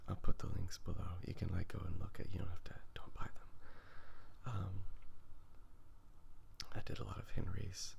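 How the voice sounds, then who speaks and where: A man softly rambling in a casual ASMR style, half-whispering in a hesitant, halting, self-deprecating way. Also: deep voice, flat delivery. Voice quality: hushed, breathy, half-whispered. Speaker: artist hosting a drawing ASMR video. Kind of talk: soft-spoken ASMR, casual rambling while drawing. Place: sitting on the ground while drawing. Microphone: phone recording. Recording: faint background noise with clicking.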